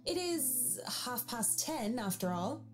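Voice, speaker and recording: A woman voicing a sharp-witted, principled young woman in a game, speaking in a dry, reproachful way. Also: fairly high pitch, very animated, takes it slowly, over music, voice pushed up. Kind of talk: visual novel character voicing.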